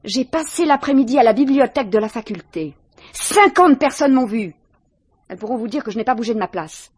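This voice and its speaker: A prickly student suspect in an old French radio mystery. She is haughty, precise and quick to take offence, with a husky voice in a close, dry recording. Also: on the high side, lively intonation, no room sound. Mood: firm, defensive. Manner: defensive, haughty, formally precise. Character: female student lodger, defensive, prickly, indignant suspect.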